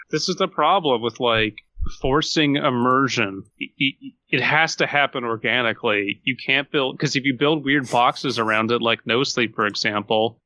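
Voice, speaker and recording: A man with a bright, forceful voice trades casual podcast banter. He is sardonic and mocking as he picks things apart, and he swears freely. The sound is dry, with hardly any room in it. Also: medium pitch, no room sound. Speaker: podcast co-host.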